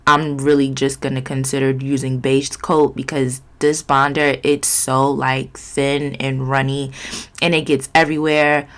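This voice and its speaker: A woman's voice: extremely low pitch, flat delivery, a little slow. A woman with a stuffy, nasal voice from a cold, chatting candidly and rambling through a nail tutorial vlog. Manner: rambling, candid, lots of fillers. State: congested, sniffly, nasal. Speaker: nail vlogger.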